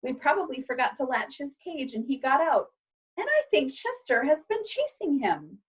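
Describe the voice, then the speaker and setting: A woman's voice: sits high, very animated, normal speed, highs cut off, voice pushed up. A woman voicing a practical, matter-of-fact mother who takes charge in a storybook.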